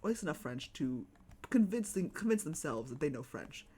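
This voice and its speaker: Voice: medium pitch, sing-song, brisk, dark tone, slight background noise. A streamer with a Chicago accent, chatting casually and rambling while playing a game at home. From United States. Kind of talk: casual live-stream chat while gaming.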